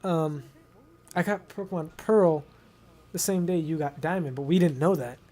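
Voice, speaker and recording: Higher-voiced young American man, rambling casually. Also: normal speed, dark tone. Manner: rambling, repeats himself, slangy. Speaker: video host. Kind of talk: casual storytelling chat with a friend.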